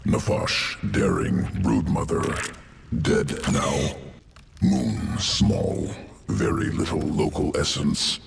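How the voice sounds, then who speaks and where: A man voicing a cold, clinical alien insectoid evolution master in a video game, with a guttural creature rasp and clipped, telegraphic, detached speech. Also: very deep voice, very noisy, exaggerated voice. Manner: clipped telegraphic sentences without articles, detached and deliberate. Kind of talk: video game character acting. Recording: music behind the voice.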